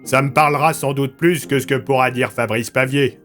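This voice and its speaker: A man's voice: medium pitch, steady tone, normal speed, a bit bright, soft background music, lightly acted. Wry, easygoing police lieutenant acted by a man. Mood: wry, casual. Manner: casual, wry. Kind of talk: audio drama acting.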